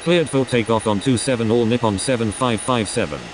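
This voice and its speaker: A man's voice: mid-range voice, fairly quick, noisy background, close to an everyday voice. A man voicing a businesslike airline pilot in a flight game, giving scripted radio calls.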